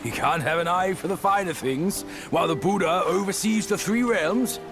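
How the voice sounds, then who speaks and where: A man's voice: high-pitched, moderate pace, vocal fry, over music. A man voicing a cheeky, good-natured companion creature in a video game, chatty, playful and irreverent. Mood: defensive, playful. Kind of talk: video game cutscene acting.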